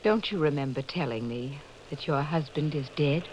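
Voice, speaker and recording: An actress playing a coolly insistent woman with sinister, soothing concern, speaking in a measured 1940s radio drama style. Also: low voice, crowd noise, natural voice. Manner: measured, firm, condescending. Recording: muffled, noisy old radio recording.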